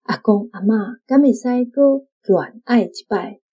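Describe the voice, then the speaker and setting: A woman's voice: a little high, measured pace, slightly rough, highs cut off. A woman with a Taiwanese accent, reading a scripted book reflection aloud as a guest on a programme. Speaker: guest reader from a book club.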